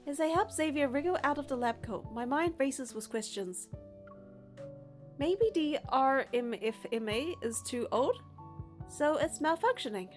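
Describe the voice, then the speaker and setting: Young female playthrough narrator, high register, scripted story reading with faint music behind. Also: lively intonation, a little slow. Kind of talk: scripted narration and dialogue read aloud.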